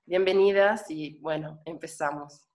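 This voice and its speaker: A woman's voice: low voice, unhurried, a little mellow. A woman moderating an online panel, introducing the speakers with a hesitant delivery. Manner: hesitant, with fillers.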